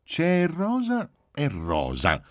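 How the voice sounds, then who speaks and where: A man's voice: on the high side, wildly swooping pitch, extremely slow, no room sound, studio quality, voice pushed up, full character voice. A man reading a story, voicing a willful, pouty little girl who talks with insistent, self-important emphasis. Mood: bossy. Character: small girl, willful, pouty, bossy know-it-all.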